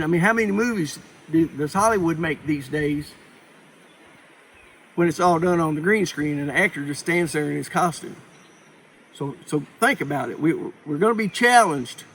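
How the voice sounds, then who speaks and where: A man talks to viewers in a folksy, plain-spoken way. His voice sits a little high for a man. He rambles informally as he explains things, with faint ambient noise behind him in an amateur recording. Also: fairly bright tone. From Appalachia, United States. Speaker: preparedness vlogger. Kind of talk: informal instructional talk to viewers.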